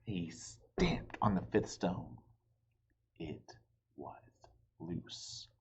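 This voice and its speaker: A man's voice: low voice, big pitch swings, very slow, husky, muffled recording. A man reading a children's storybook aloud with dramatic, suspenseful expression. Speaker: storybook reader.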